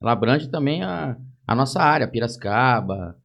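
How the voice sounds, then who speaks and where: A man's voice: medium pitch, lively intonation, a little fast, gravelly, fairly bright tone, muffled recording. A man hosting a podcast, chummy and eager, full of tag questions. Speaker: podcast host. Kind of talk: casual interview chat.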